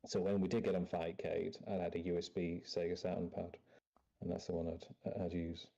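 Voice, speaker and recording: A man guesting on a podcast, telling stories in a modest, hesitant, self-deprecating way. His voice is very low and mellow. The audio sounds dull and lo-fi. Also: flat delivery, normal speed, vocal fry. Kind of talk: casual storytelling.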